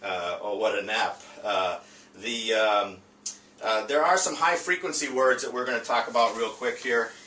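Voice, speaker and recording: Halting man teaching, roomy and distant. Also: fairly low pitch, sharp tone. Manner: hesitant, halting, with frequent long filler pauses. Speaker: teacher presenting a reading lesson. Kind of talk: informal lesson presentation. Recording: roomy, distant mic with faint noise.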